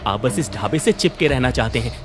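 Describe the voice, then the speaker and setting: A man's voice: medium pitch, animated, brisk, close to an everyday voice. A man voicing an insistent, modern-minded young son in a cartoon family story, speaking earnestly. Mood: frustrated. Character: frustrated modern-minded young son of village eatery owner. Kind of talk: animated cartoon story dialogue. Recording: music under the voice.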